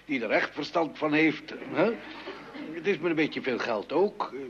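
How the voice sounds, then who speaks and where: A dull old radio recording carries a middle-aged family father. His creaky voice grumbles and hesitates, quick to sound flustered and indignant in a comedy style. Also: on the high side, expressive, fairly bright tone, a little hiss or hum. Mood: hesitant. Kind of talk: mid-century Dutch radio comedy acting.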